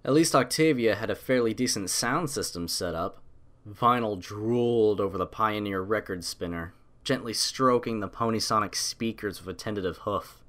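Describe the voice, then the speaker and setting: A man's voice: fairly low pitch, normal speed, a bit bright. A man reading scripted story narration as the narrator of an audio tale.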